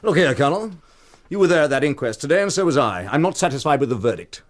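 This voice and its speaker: An actor playing a cocky, drunken blackmailer in a radio drama, with a taunting, familiar, insinuating manner. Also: male voice, a little high, animated, quick pace, close to an everyday voice. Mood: insistent, indignant.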